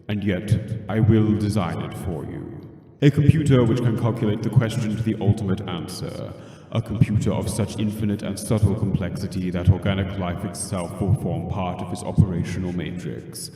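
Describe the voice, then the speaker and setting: A man playing a vast, ancient supercomputer in an amateur radio play, speaking gravely and with ponderous superiority. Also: fairly low pitch, fairly even intonation, fairly quick, dark tone, faint music behind, natural voice. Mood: superior, grandiose. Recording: distant, long hall-like reverb.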